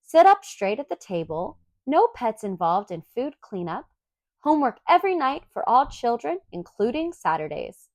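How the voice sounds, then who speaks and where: A woman's voice: fairly high pitch, big pitch swings, normal speed, dry recording. A woman voicing an earnest, bossy little girl in a storybook, prim, polite and officious.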